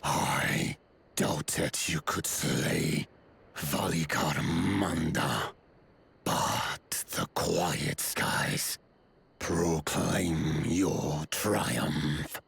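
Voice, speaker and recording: An actor playing a solemn, towering giant elder in a video game, with a low gravelly voice and slow, formal speech. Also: male voice, fairly even intonation, a bit bright, exaggerated voice.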